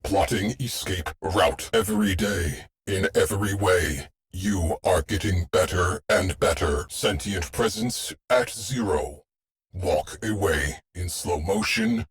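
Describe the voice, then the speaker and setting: A man voicing a terse, dry shipboard AI tactician in a video game, speaking in clipped, deadpan military phrases. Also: deep voice, takes it slowly, husky, full character voice. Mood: deadpan, wry. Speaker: mission control voice. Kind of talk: scripted game voice lines.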